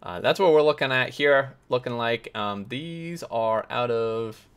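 A man hosting a casual game tour, talking with warm enthusiasm and plenty of fillers, his voice getting hoarse. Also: mid-range voice, a little slow. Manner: enthusiastic, rambling with many fillers. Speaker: gaming video host.

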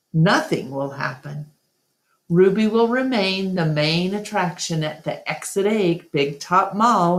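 A middle-aged woman reads a children's story aloud. Her voice sits a little low, and she takes her time, moving carefully and deliberately from phrase to phrase at a very unhurried pace. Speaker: read-along storyteller. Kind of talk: reading a children's novel aloud.

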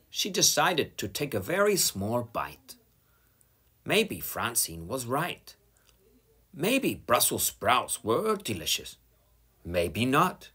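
A man reading a children's picture book aloud at an unhurried pace, his voice husky and bright. His pitch rises and falls widely as he tells the story. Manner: slow, expressive reading. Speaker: storybook reader.

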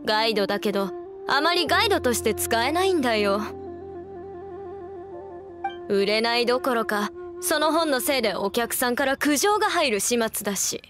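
A woman playing a brisk young female bookseller in an anime video game, casual and matter-of-fact. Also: fairly high pitch, lively intonation, close to an everyday voice. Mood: exasperated. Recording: background game music.

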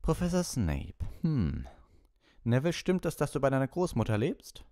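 A man's voice: mid-range voice, huge pitch swings, moderate pace, mellow, pitched above the performer's own voice. A man voicing a cheerful, encouraging wizard teacher in an audiobook, instructing patiently and kindly. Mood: gentle, probing. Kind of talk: animated audiobook character voice.

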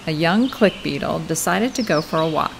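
Creaky-voiced woman reading a children's story. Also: deep voice, expressive, moderate pace, noisy background. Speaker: storybook reader. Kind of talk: expressive children's read-aloud. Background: music and sound effects.

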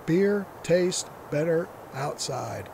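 A man's voice: fairly high pitch, expressive, slow, vocal fry, noisy background. A man narrating his camping trip in a scripted voice-over, matter-of-fact with dry humor. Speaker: vlogger.

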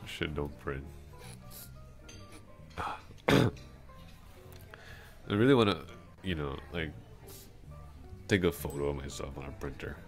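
Man acting very deep-voiced drunk, rambling coworker. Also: lively intonation, slow pace, gravelly, pauses often, faint music behind, heavily acted. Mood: drunken rambling. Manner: rambling, hesitant, trailing off. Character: drunk, rambling, awkwardly smitten young male office coworker. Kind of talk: intimate ASMR roleplay acting.

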